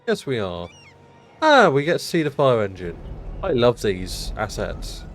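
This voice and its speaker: A man's voice: medium pitch, wildly swooping pitch, a little slow, faint music behind. A man streaming a city-building game, chatting casually and rambling, his nose blocked up because he just rolled out of bed.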